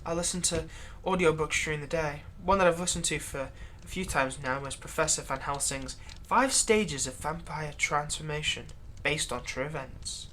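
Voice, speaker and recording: Man acting a taunting thrall, bright forceful voice. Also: a little high, moderate pace, hardly any pauses, slight background noise, natural voice. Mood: menacing, determined. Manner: taunting, threatening, theatrical, drops into breathy whispers. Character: vengeful male thrall slave turning himself into a vampire. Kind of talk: roleplay audio acting, close ASMR monologue.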